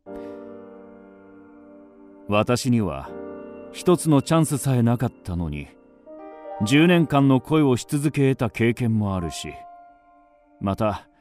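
An actor narrating a radio drama as a wry, self-assured writer, deliberate and dryly ironic. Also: male voice, medium pitch, darkish tone. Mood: reflective.

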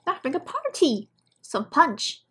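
A woman's voice: high voice, huge pitch swings, a little slow. A woman giving casual reaction commentary as a video host, in an offhand, rambling way.

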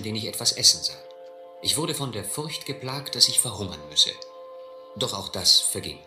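Radio drama lead: restless male South Seas captain, acted by a man, lowish, earnest storyteller, music underneath. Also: lightly acted. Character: seasoned, restless South Seas schooner captain recounting adventures. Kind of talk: German radio play narration, literary and scripted. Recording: roomy recording with music behind.

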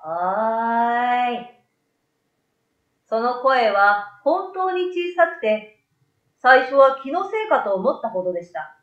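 A woman's voice: high-pitched, very animated, measured pace. A middle-aged woman narrating a children's story from a script into a desktop microphone in a small room. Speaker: story reader. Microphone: desktop condenser microphone. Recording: roomy, slightly dull sound.

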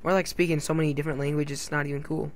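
A man's voice: a little high, level tone, very fast. A young man hosting a casual gaming commentary, joking, swearing and slipping into silly put-on accents. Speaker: gamer channel host.

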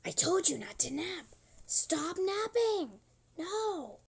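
A woman voicing a stern, fussy robot nap attendant from a horror game, speaking in a deliberate, warning manner. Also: very high-pitched, sing-song, creaky, exaggerated voice. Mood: scolding. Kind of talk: hobby character voice lines. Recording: noisy homemade recording.

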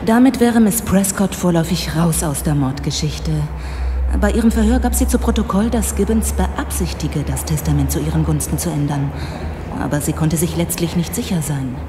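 Actress as a low-voiced composed woman detective. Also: normal speed, close to an everyday voice. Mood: thoughtful. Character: composed, thoughtful female police detective. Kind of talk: German radio drama dialogue. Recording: echoey hall, music behind.

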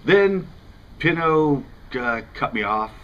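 A man's voice: a little high, lively intonation, slow, fairly bright tone, lo-fi sound, a little hiss or hum. A middle-aged American man in his fifties, a fishing channel host talking casually to camera in a rambling, conversational way. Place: outdoors in front of a house window.

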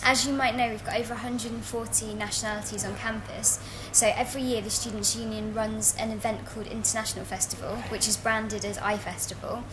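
A young woman explaining events in a casual, informative interview style. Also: a little high. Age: young adult. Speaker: festival organiser. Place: indoor student union room. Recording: clear indoor background noise.

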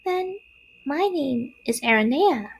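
Woman voicing tiny, high-pitched cheerful girl spider. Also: lively intonation, slow, creaky, heavily acted, low-quality recording. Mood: playful. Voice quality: small, tiny, airy voice. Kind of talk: storybook character voice.

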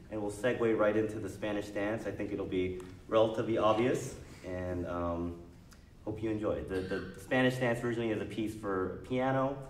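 Male performer, voice going hoarse, loose and halting stage patter, distant camera mic in a reverberant hall. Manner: casual, halting with fillers. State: hoarse, losing his voice. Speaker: guitarist introducing a piece. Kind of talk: spoken concert introduction. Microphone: far camera mic. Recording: echoey hall, distant mic, faint rumble.

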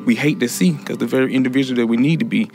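An earnest man speaks reflectively, as a student in a prison writing class. His mid-pitched voice has a clear gravelly creak, and a guitar plays faintly behind him. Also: rapid-fire. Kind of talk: reflective talk and recited poetry. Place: room inside a prison. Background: soft guitar music.

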